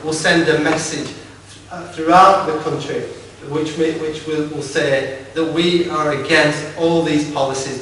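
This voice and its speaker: Lancashire bloke giving a campaign speech, slightly high voice, stumbles and repeats himself, far off mic in a big echoey hall. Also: male voice, a bit bright, a little hiss or hum. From Lancashire, England. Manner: halting, stumbles and repeats words, wry asides. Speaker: campaign speaker at a political meeting. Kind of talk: informal public speech. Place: large echoey meeting hall. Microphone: distant from the recording device.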